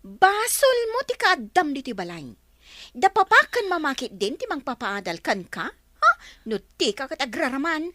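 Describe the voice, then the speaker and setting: A woman's voice: high-pitched, very animated, moderate pace, bright, forceful tone, no echo, clean studio sound, heavily acted. An actress with a Filipino accent playing a spiteful, bossy young woman who scolds her cousin in a radio drama. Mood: angry, scornful. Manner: scolding, haughty.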